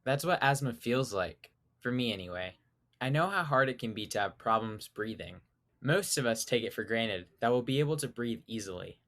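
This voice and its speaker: An eighteen-year-old young man reading a scripted personal essay in an earnest, measured way; he grew up speaking Spanish at home. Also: mid-range voice, animated, fairly bright tone. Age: teens. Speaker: student essayist.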